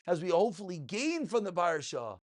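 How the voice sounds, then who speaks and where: A man's voice: high-pitched, big pitch swings, brisk. A man giving a passionate, informal religious lesson as a rabbi, emphatic, full of rhetorical questions and repeated phrases.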